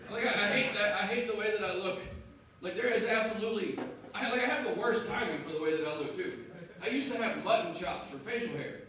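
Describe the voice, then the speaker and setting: A brash, self-mocking man with a Southern US drawl delivers a crude stand-up set in a high, punchy voice. The sound is heavily echoey and picked up from a distance, with loud crowd laughter and noise behind him. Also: fast. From American South. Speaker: stand-up comedian. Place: comedy club stage. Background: audience laughter and noise.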